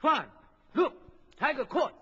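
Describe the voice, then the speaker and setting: An actor playing an excitable, loyal local helper in an old children's adventure record, speaking clipped, deferential broken English. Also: male voice, extremely high pitch, slow pace, muffled recording, noisy background, full character voice. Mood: excited, alarmed.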